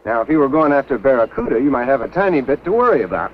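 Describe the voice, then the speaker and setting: An actor playing a brisk, cocky diving buddy with wry, casual banter in a 1950s B-movie. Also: male voice, mid-range voice, very animated, slight background noise, lightly acted. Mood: breezy, wry. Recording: muffled old film soundtrack, distant, faint music.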